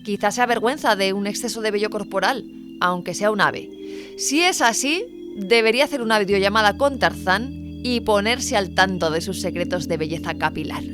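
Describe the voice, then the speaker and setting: A woman's voice: medium pitch, normal speed. A woman narrating a light scripted list video in a playful, wry, questioning manner. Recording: music behind the voice. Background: music.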